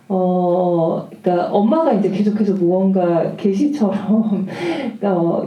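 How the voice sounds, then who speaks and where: The sound is muffled and roomy, picked up from a distance. A woman with a medium-pitched voice moderates a film Q&A in tentative, roundabout phrases. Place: cinema hall at a festival Q&A.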